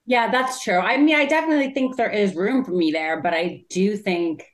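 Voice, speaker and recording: A woman from Canada with a Canadian accent, a stand-up comedian chatting casually in an interview, with a loud voice and lots of filler words. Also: medium pitch, a little fast, roomy sound. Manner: chatty, many "like" fillers, trails off.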